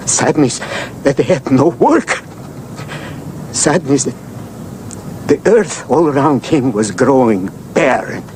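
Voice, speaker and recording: A poor, grieving father pleads humbly. He speaks very slowly and haltingly in a mid-pitched voice, over clear background noise, as in a television drama. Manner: slow, halting, sorrowful.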